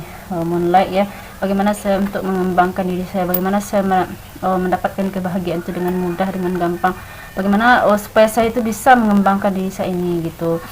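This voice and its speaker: Young woman, late twenties, casual to-camera talk, halting, lots of fillers, faint room noise. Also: fairly low pitch, darkish tone, low-quality recording. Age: 20s. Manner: hesitant, many "eh" fillers and restarts. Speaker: vlogger, stay-at-home mother.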